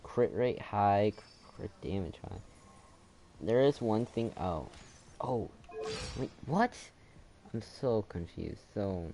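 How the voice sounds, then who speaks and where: A young man giving casual, halting gameplay commentary, muttering his reactions as he goes. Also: lowish voice, lively intonation, gravelly. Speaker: gamer, channel host. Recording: loud game noise behind.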